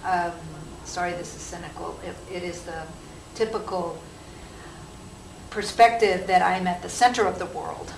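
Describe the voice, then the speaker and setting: Lady in the audience asking stuff, a bit halting, echoey and noisy. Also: on the low side, fairly even intonation, gravelly. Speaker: audience member asking questions. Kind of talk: spontaneous question and comment. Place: conference session room. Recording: echoey noisy room, distant microphone.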